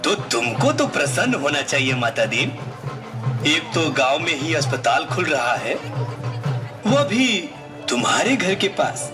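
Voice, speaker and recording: A man playing a wise old village patriarch in a puppet show, measured and advising. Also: a little high, very animated, music in the background. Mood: admonishing.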